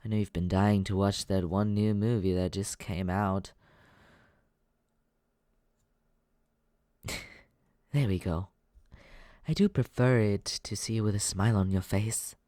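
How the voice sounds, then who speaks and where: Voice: deep voice, steady tone, normal speed, warm tone, no echo, studio quality, lightly acted. An actor voicing a teasing but caring young companion in an intimate, conversational ASMR comfort roleplay, hesitant and reassuring. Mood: fond.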